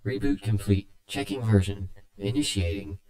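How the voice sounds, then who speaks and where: A man's voice: on the low side, little pitch movement, normal speed, darkish tone. A man voicing a metallic suit computer in a story, giving clipped status announcements through a robotic effect. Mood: impassive. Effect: echoing robot voice filter. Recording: heavily echoing, distant-sounding processed voice.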